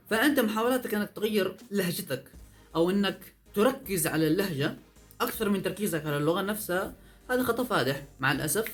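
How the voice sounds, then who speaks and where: A young man, giving a lively, emphatic vlog monologue full of rhetorical questions. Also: high voice. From Yemen. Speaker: vlogger. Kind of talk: fast opinion monologue. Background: faint background music.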